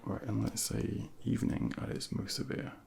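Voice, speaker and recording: A man speaking softly and breathily in an ASMR medical role play as a junior doctor, gently reassuring and giving step-by-step instructions into a close binaural microphone. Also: lowish voice, flat delivery, normal speed, slight background noise. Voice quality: breathy, whispery, with heavy creak.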